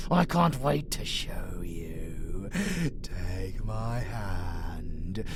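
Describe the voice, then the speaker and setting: Slow, gravelly, sadistic vampire monster. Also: male voice, medium pitch, heavily acted. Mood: gleeful, savoring. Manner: menacing, foul-mouthed, savoring words slowly. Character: sadistic, gloating male vampire monster who relishes slaughter. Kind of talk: monster audio roleplay, theatrical monologue.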